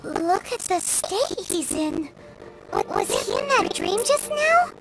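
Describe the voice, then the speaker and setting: Tiny excitable fairy sidekick for a game: childlike squeak, very animated, cheeky and incredulous. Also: female voice, takes it slowly, creaky, exaggerated voice, rough recording. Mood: puzzled, surprised. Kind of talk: video game voice acting. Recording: loud music under the voice.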